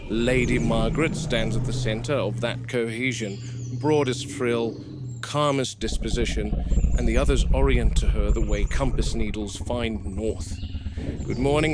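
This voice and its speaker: A man playing a calm, watchful game warden, speaking in a measured, clinical way as in a scripted field log on a noisy recording. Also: on the low side, close to an everyday voice. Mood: measured, instructive, then gently soothing. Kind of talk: scripted found-footage horror narration.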